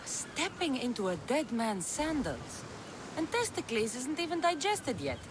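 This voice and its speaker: Sardonic mercenary, dry, wry, lively pitch. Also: female voice, unhurried, background noise. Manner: dry, wry, deadpan remarks. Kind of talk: video game cutscene acting.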